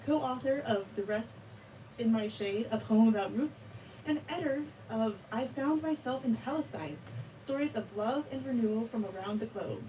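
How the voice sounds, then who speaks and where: A woman hosts a bookstore event and reads out a formal introduction, now and then hesitating over words. Her voice is fairly high and mellow. She sits away from the mic, with faint noise behind her. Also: normal speed, distant mic, poor audio. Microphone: video call.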